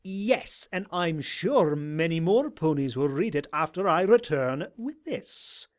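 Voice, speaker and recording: A man's voice: fairly high pitch, expressive, takes it slowly. A man voicing a grizzled, haughty old male unicorn scholar in an audio drama, with a pompous, sarcastic, theatrical delivery. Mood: indignant. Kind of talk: animated audio drama character voice.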